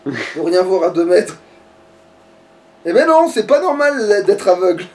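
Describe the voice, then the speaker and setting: A man's voice: high-pitched, sing-song, a little slow, a little mellow. A man in a casual, sweary gaming chat, ranting with mock outrage, recorded indoors at some distance from the mic. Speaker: let's player.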